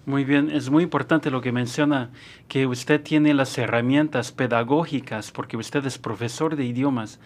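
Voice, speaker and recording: A man in his forties hosting an interview, reading a prepared introduction and then asking questions in a steady way, recorded on a studio mic. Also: medium pitch, moderate pace. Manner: steady, short encouraging backchannels. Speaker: interview host. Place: small studio with dark curtain. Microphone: studio mic on boom arm.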